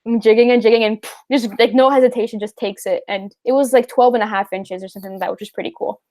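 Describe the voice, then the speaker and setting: A young woman from Canada chats with viewers on her live fishing stream. She rambles in a relaxed way, full of "um" and "like," and laughs easily as she answers questions. Her voice sits a little on the high side. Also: fast. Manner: chatty, rambling, laughs easily, many fillers. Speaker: fishing livestream host. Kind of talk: casual live chat reading viewer questions.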